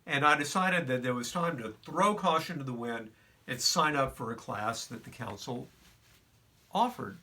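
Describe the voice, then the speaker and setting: A man's voice: mid-range voice, moderate pace, bright, forceful tone, far from the mic. An older man telling a reflective personal story in a conversational way, with fillers and restarts.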